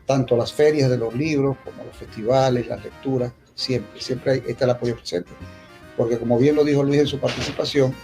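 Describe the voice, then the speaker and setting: A Venezuelan man giving an unscripted public talk, reminiscing in a halting way and restating points with emphasis. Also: mid-range voice, fairly even intonation, mellow, faint music behind. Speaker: poet speaking at a poetry festival.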